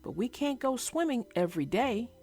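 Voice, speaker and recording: A thoughtful young boy bear cub from a storybook, voiced by a woman. He sounds sensible and explanatory, with animated intonation over quiet music. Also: fairly high pitch, normal speed. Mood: reasonable, doubting. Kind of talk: children's storybook character voice.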